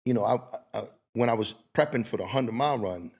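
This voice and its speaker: A man's voice: medium pitch, a little fast, gravelly, a little mellow. A middle-aged man hosting a podcast, talking loosely and telling stories in a casual, rambling way. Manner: loose, storytelling, lots of "you know what I mean". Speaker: podcast host. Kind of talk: casual conversational interview.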